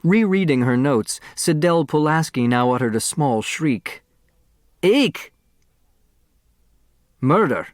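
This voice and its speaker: A man narrating a scripted story as an audiobook reader, expressive and precise. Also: fairly high pitch, unhurried, hardly any pauses, dry recording, clean studio sound.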